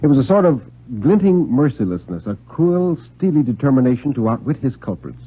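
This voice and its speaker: Male storyteller, husky, lively swooping intonation, deliberate literary phrasing, 1950s radio drama reading, muffled narrow-band sound. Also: mid-range voice.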